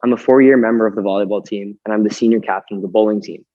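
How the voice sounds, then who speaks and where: A young man in his late teens with a slightly raspy voice speaks low and mellow, delivering a polite, prepared welcome. The dry, dull lo-fi recording keeps him close, with no room sound around him. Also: fairly even intonation, rapid-fire, dry recording. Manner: formal and polite. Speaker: student team captain. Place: school gym.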